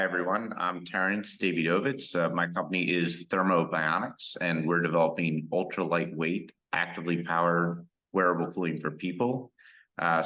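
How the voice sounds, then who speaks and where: A man with a raspy, worn voice speaks very low and flat, yet with a bright edge. He introduces himself haltingly, with apologetic pauses. The call audio sounds dull and lo-fi. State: raspy, tired voice. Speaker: startup founder. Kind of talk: self-introduction on a video call. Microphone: video call.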